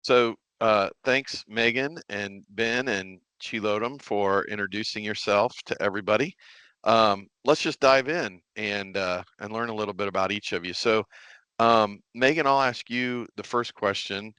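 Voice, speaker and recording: A man's voice: fairly low pitch, measured pace, sharp tone, clean tone, dry recording. A man hosting a podcast in a casual interview style. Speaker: podcast host.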